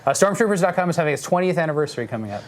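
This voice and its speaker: A man bantering casually as a panelist on a podcast, quick with wisecracks. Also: a little high, animated, slight background noise.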